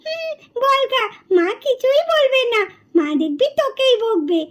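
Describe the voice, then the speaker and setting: A woman's voice: extremely high pitch, very animated, measured pace, full character voice. A woman voicing a mischievous little boy who teases his sister. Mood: taunting. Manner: teasing, gloating. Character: naughty little boy Adi, teasing.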